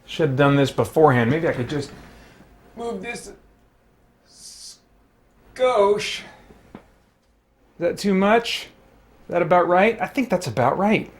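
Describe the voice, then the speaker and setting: Higher-voiced man chatting on a live stream. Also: animated, takes it slowly, long pauses. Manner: self-deprecating, rambling. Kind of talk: casual live stream chat.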